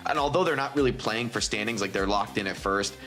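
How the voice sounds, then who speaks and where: A man's voice: lowish voice, quick pace, fairly bright tone, soft background music. A man analyzing esports matches at a desk, confident and opinionated.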